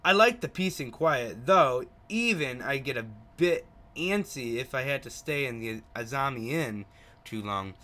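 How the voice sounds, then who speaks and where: Male reader as effusive young country cabbie, visual novel dialogue, bright voice, friendly and talkative. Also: mid-range voice, expressive, unhurried. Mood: chatty. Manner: effusive, chatty.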